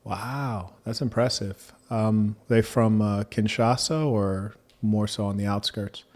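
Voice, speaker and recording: A man hosting a debate, speaking in a measured, formal manner and asking probing questions. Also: on the low side, fairly even intonation, darkish tone. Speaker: debate host.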